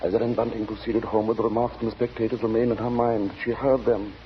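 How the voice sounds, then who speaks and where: An actor narrating a suspense tale in a grave, intense 1940s radio style. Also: male voice, fairly low pitch, level tone, fast talker, natural voice. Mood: grave, suspenseful. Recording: muffled, hissy 1940 radio broadcast.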